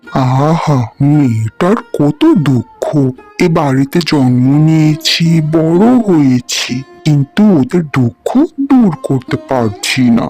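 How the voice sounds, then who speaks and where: A woman's voice: low-pitched, expressive, slow, a little mellow, faint music behind, exaggerated voice. A woman voicing a kindly, mournful talking tree in a cartoon fairy tale, speaking earnestly. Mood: sorrowful. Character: sorrowful, kindly fruitless tree that talks.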